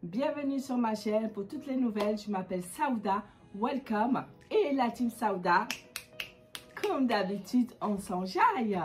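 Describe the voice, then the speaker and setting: A woman's voice: a little high, lively intonation, unhurried, soft background music. A woman from the Comoros with a stuffy nose from a cold, chatting casually through a beauty how-to vlog with many hesitations. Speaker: beauty vlogger.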